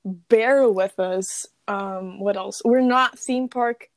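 Brazilian teen girl, non-native English, co-host; slow, self-mocking chat with chuckles, mid pitch, dry mic. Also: dry recording. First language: Portuguese. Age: teens. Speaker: podcast co-host. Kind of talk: casual chat.

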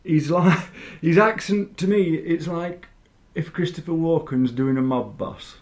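A man in casual podcast chat, throwing in jokes and quick questions. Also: a little high, darkish tone. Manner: joking asides and quick questions. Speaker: podcast co-host. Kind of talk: casual chat recapping a TV episode.

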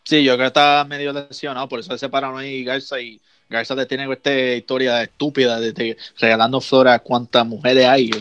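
A man's voice: mid-range voice, flat delivery, a little fast, few pauses, a bit bright, clean tone, no room sound, muffled recording, soft background music. A man, co-hosting a casual wrestling chat and chiming in with friendly remarks. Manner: friendly, chiming in, says compay. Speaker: wrestling podcast co-host.

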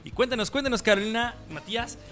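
A man's voice: extremely high pitch, quick pace, fairly bright tone, soft background music. A man with a Mexican accent hosting a casual radio interview, informal and repeating phrases for emphasis. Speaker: radio show host.

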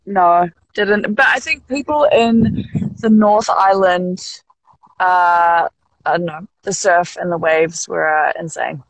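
A young woman with a New Zealand accent, a podcast guest talking casually over a video call in a rambling, halting way and laughing easily. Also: fairly low pitch, dry recording, poor audio. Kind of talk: casual interview.

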